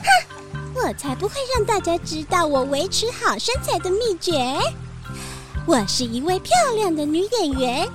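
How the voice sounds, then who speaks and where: A woman's voice: very high voice, sing-song, moderate pace, loud music behind the voice. A woman voicing a vain actress who brags about her looks in a children's audio drama. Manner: self-admiring, sing-song. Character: vain actress who thinks herself beautiful.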